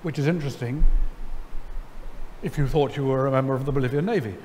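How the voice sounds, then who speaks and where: A middle-aged man, seated on stage, telling a story to an audience in a wry, animated way, full of ums and restarts. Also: mid-range voice, quick pace, slight background noise. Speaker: lecture guest. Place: large church sanctuary before an audience. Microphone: headset mic. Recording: hall reverb, faint ambience.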